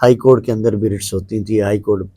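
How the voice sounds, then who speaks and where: A man's voice: fairly low pitch, fairly even intonation, warm tone, no room sound, studio quality. A middle-aged Pakistani man hosting a conversational interview, mixing English words and phrases into his talk. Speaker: host.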